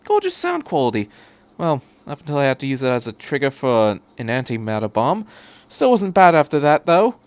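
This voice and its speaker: A man's voice: mid-range voice, wildly swooping pitch, a little fast, no echo. A man voicing a cheerful, chatty, eccentric male time traveller who rambles breezily in a fan fiction reading. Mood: apologetic, breezy.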